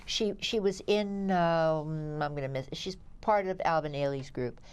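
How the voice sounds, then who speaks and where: A woman's voice: sits low, measured pace, a little mellow, smooth. A woman co-hosting a theatre review show as a critic, chatting in a digressive way and searching for words.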